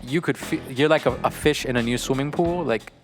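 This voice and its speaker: A man's voice: medium pitch, a little fast, soft background music. A man hosting a casual podcast chat, joking and teasing his guest. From New York City. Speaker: podcast host, visual artist.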